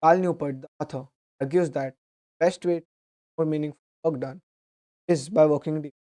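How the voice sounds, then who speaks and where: Slightly hoarse young man, mellow voice. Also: fairly high pitch, normal speed. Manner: steady, earnest explaining with small restarts. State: slightly hoarse from a sore throat. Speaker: book summary host. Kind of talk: explanatory book summary talk.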